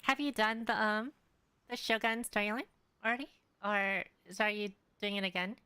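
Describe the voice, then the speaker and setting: Young woman streamer just chatting, bright crisp voice, lots of ums, dry close sound. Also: mid-range voice, moderate pace, no echo. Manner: chatty, hesitant, many fillers. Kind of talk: casual live-stream chat. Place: home streaming setup.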